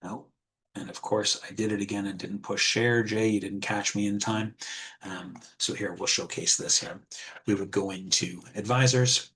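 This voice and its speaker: Soft-voiced man presenting a webinar. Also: on the low side, poor audio. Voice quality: husky, soft. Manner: calm, measured, with frequent ums and restarts. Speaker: insurance wholesaler presenting a webinar. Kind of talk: webinar presentation with screen share.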